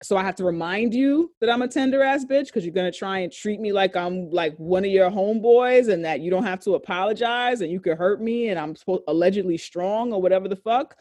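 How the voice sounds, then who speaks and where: A woman with a deep voice, co-hosting a podcast in a candid casual discussion, emphatic and profane, stretching out key phrases. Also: brisk, hardly any pauses, darkish tone, dry recording. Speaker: podcast co-host.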